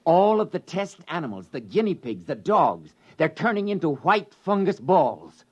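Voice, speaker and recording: A man's voice: on the high side, very animated, normal speed, husky, highs cut off. Dramatic, commanding spaceman. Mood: alarmed. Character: commanding male space expedition crewman, grimly practical. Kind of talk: 1950s radio drama acting.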